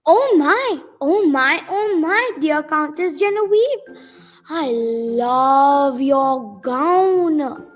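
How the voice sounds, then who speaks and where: A woman's voice: high voice, lively intonation, drawn-out words, soft background music, exaggerated voice. A woman acting a chatty, gushing, oblivious mother in an amateur radio play, rambling and exclaiming.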